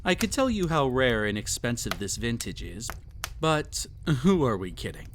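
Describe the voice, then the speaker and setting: A man's voice: mid-range voice, very animated, fairly bright tone, a little hiss or hum. A man playing a cruel, possessive emperor with a haughty, silky, condescending manner in a scripted roleplay addressed to the listener. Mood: doting, mocking. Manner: haughty, silky, condescending, theatrically drawn-out delivery. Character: cruel, possessive, mocking young emperor pampering a captive pet.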